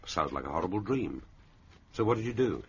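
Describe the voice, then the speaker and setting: An actor playing a wry, impatient British secret agent in a radio drama, with a British accent and a dry, sardonic manner. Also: male voice, low voice, big pitch swings, fairly quick, slightly rough, exaggerated voice. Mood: weary. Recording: muffled, noisy old radio recording.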